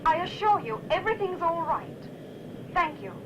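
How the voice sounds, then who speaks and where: Lilting, high-voiced airline stewardess. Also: female voice, very animated, normal speed. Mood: forced calm. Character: composed airline stewardess reassuring passengers. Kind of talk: 1960s film acting. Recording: noisy old film soundtrack, engine drone.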